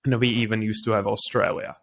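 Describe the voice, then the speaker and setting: A man's voice: on the low side, little pitch movement, quick pace, creaky. A man from the Czech Republic with a Czech accent, speaking English as a second language as an interview guest telling his story conversationally.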